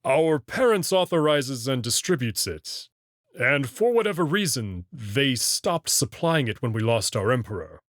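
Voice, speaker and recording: A man's voice: medium pitch, very animated, measured pace, fairly bright tone, dry recording, studio quality. A man voicing a proud but guarded young male wolf alien prince in a visual novel, explaining himself earnestly and reluctantly. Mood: defensive, regretful. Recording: clear music behind the voice.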